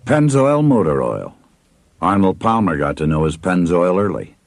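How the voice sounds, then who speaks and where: A man's voice: low voice, sing-song, moderate pace, dry recording. A man narrating a scripted commercial as an announcer.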